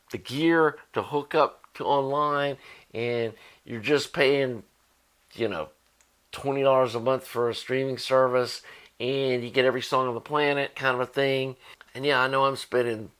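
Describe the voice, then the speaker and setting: An American man speaks as the host of an electronics vlog. His voice sits at a medium pitch, and he explains things informally, as in everyday conversation. He states his opinions plainly and keeps the delivery casual. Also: a bit bright. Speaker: host of an audio electronics channel.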